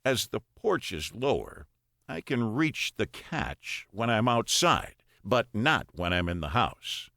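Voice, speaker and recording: A man reading a first-person short story as an audiobook narrator, with a measured, dramatic delivery. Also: on the low side, very animated, vocal fry, pushed and bright, no echo, studio quality.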